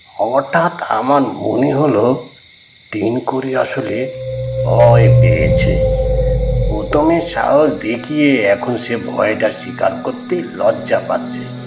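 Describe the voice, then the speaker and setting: A man tells an eerie first-person story at a slow, measured pace. His voice has a gravelly creak at medium pitch. He keeps the suspense going over faint music. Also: expressive, poor audio. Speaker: narrator. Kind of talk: scripted first-person horror story narration.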